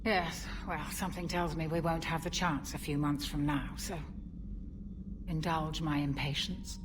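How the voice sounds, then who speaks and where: An actress playing an older female officer, fond, formal and gently wry, in a video game. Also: sits low, steady tone, measured pace, noisy background. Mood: playful.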